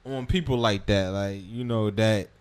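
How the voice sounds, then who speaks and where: A man's voice: fairly low pitch, unhurried, a little mellow, smooth. A man hosts an interview, asking casual, slangy questions with long rambling setups.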